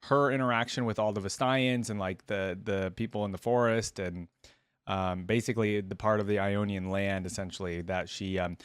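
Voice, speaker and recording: A man's voice: on the low side, fairly even intonation, normal speed, smooth, dry recording. Man doing casual reaction commentary, dry sound. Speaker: reaction video host.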